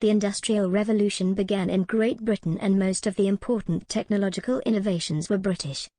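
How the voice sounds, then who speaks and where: Female narrator for read-aloud passages; warm, soft-edged timbre, recorded dry. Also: mid-range voice, steady tone, fairly quick, no room sound. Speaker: sample answer reader.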